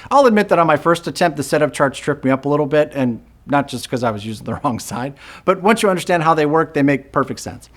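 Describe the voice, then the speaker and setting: A man's voice: on the high side, animated, fast, hardly any pauses, a bit bright. A man hosting a board game channel, walking through the rules in a scripted, upbeat tutorial with jokey, self-deprecating asides. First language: English. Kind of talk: scripted how-to-play tutorial.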